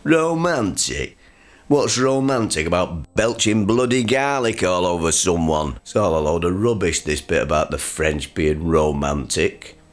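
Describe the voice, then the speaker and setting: A man playing a grumpy, crude working-class father in a radio comedy sketch, gruff, sarcastic and blustering. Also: low-pitched, expressive, normal speed. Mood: ranting.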